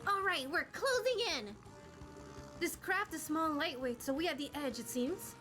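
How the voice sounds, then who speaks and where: A woman's voice: high voice, expressive, normal speed, pushed and bright, soft background music. A woman voicing a confident, stern adult adventurer in amateur fantasy game dubbing, firm and composed. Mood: focused.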